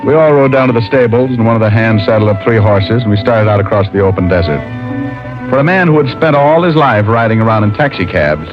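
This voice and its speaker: An actor playing a wry, deadpan city private detective in an old-time radio drama. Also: male voice, fairly low pitch, brisk, close to an everyday voice. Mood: dry narration. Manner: dry, deadpan wisecracking. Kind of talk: old-time radio detective acting, first-person narration. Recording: dull old radio recording with music.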